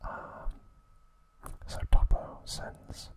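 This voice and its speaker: A man hosting an ASMR recap, speaking in a soft, breathy, unvoiced whisper with a gentle delivery. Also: drawn-out words. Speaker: ASMR host.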